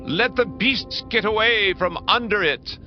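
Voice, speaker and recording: Casting: proud Babylonian king, grand theatrical proclaimer, higher-pitched, widely swooping delivery. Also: expressive, unhurried. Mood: commanding. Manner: grand, declamatory, solemn proclaiming. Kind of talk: dramatized scripture reading, theatrical acting. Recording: dull band-limited audio under loud music. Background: loud dramatic music.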